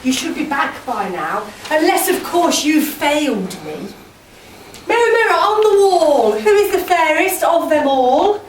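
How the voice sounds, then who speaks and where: An imperious pantomime villain queen, vain and wicked. She declaims loudly in a high, shrill, swooping voice. It rings from far off in an echoey hall. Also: sing-song, measured pace, slight background noise. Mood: imperious, impatient. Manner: loud, declaiming. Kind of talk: amateur Christmas pantomime villain acting. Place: live amateur stage in a hall.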